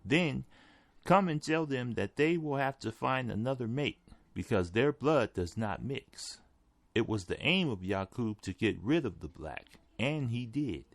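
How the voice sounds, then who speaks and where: A man's voice: mid-range voice, expressive, measured pace, gravelly, no echo. A man reading a written article aloud as scripted narration. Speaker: article reader.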